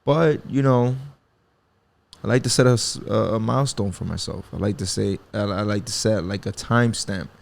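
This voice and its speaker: Gravelly young man rambling casually. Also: lowish voice, moderate pace. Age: 20s. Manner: rambling, reflective, swears freely, many fillers. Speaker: podcast host. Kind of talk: casual solo podcast talk.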